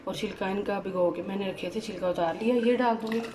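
A woman's voice: medium pitch, a little fast, heavy background noise. Chatty woman demonstrating a recipe at home. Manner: chatty, keeps inviting viewers to look. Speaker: cooking channel host. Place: home kitchen, indoors. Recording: home kitchen with utensil clatter. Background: clattering dishes and utensils.

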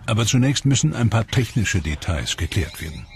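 A man giving measured, explanatory scripted voice-over narration for a documentary. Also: fairly low pitch, very animated. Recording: voice-over with music behind.

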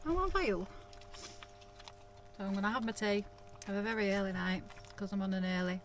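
A woman's voice: medium pitch, brisk, slight background noise. A woman in her thirties from the UK, chatting casually to camera inside her camper van, a little stuffy with a sore throat. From United Kingdom. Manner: chatty, laughs often, coos at her dogs. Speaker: vlogger. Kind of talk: casual vlog chat to camera.

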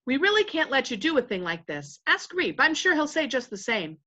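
A woman's voice: high-pitched, very animated, a little fast, bright, forceful tone, highs cut off, pitched above the performer's own voice, exaggerated voice. A woman voicing protective, indignant young noblemen, protesting in a forthright way in a storybook.

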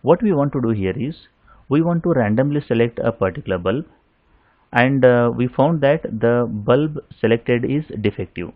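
A man lecturing over slides in a steady explaining manner, often pausing with "uh" fillers. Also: mid-range voice, dry recording, narrow, tinny audio.

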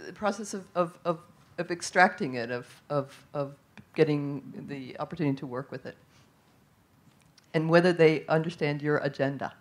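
A woman moderating a conference panel from a stage table, loud and brisk, hurrying through her closing remarks. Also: low voice. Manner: loud, brisk, self-deprecating. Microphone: handheld microphone.

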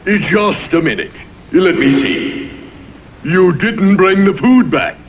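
An actor playing an exasperated, flustered shopkeeper in a vintage cartoon, protesting in a whining way. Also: male voice, sits high, big pitch swings, slow, breathy edge, full character voice. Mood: incredulous. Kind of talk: vintage cartoon sitcom acting. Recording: muffled, very noisy vintage TV soundtrack.

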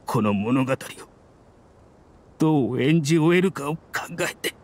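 A man voicing a grim, resolute mercenary in a video game, speaking in a rough, blunt and earnest dramatic manner. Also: mid-range voice, animated, measured pace, a little hiss or hum, natural voice. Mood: bitter, earnest. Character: grim, resolute mercenary man bent on avenging his leader. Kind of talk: dramatic anime-style game acting. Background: soft music.

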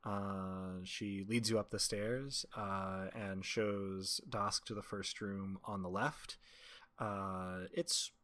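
Low-voiced man narrating haltingly. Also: level tone, bright, forceful tone. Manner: halting, wry. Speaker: game master. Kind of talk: improvised tabletop game narration.

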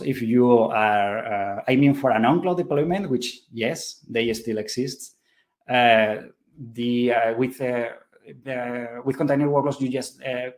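A man's voice: medium pitch, a little mellow. Hesitant man giving a technical talk. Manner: hesitant, many fillers and restarts. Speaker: conference presenter. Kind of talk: technical talk with live demo.

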